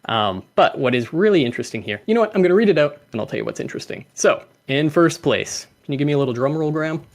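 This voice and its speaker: A man's voice: fairly high pitch, animated, quick pace. A young man hosting a livestreamed community call, reading out names and laughing often. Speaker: host.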